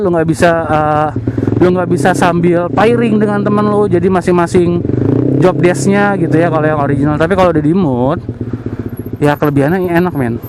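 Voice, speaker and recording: Young male motovlogger, Jakarta accent, slightly high voice, informal riding commentary into a helmet mic under loud exhaust roar. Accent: Jakarta Indonesian. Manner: slangy, informal, addressing viewers as mates. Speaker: motorcycle vlogger reviewing gear. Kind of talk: casual chatty riding commentary. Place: outdoors, riding a motorcycle in traffic. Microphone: helmet intercom microphone. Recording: loud motorcycle exhaust and road noise. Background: loud exhaust and engine noise.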